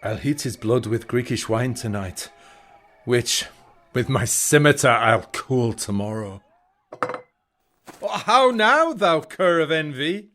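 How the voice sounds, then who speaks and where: An actor playing a proud, boastful Greek warrior in a Shakespearean audio drama, with a grand declamatory manner. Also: male voice, mid-range voice, very animated, slow pace, pauses often, a bit bright, a little hiss or hum. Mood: menacing.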